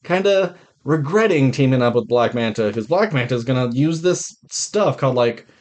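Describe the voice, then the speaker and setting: A man's voice: medium pitch, animated, moderate pace, darkish tone. A man co-hosting a podcast, chatting casually about films in a blunt, sweary way. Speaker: podcast co-host. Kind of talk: casual film review chat.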